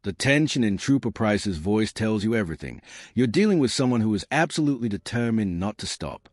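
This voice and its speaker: A man's voice: lowish voice, expressive, a little fast, darkish tone, no room sound, studio quality. A man narrating police footage from a script in a grave, emphatic, dramatic true-crime style.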